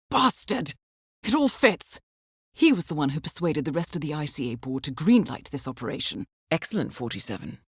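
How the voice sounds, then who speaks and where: A woman's voice: on the low side, no room sound. British lady spy handler in a video game, poised n dryly wry, lots of melody, super dry close sound. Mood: alarmed realization. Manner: poised, measured, dry understatement. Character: composed female intelligence handler briefing an assassin, dryly wry. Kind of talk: scripted video game mission briefing.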